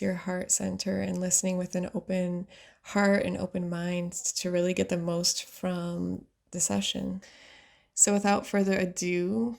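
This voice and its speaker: A woman's voice: lowish voice, vocal fry, a little mellow. A woman in her twenties hosting a podcast in a conversational way over a video call. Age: 20s. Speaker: podcast host. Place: indoor room.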